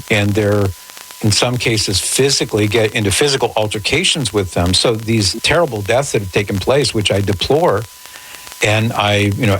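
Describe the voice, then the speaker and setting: A man hosting a radio call-in show, answering in a measured, articulate, conversational way with formal wording. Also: fairly low pitch, fairly bright tone. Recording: noisy radio broadcast with static.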